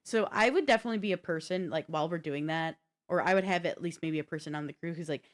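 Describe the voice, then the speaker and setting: A woman's voice: sits low, quick pace. A woman co-hosting a live stream in casual, unscripted chat, often thinking aloud and peppering her talk with "like". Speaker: podcast and live stream co-host.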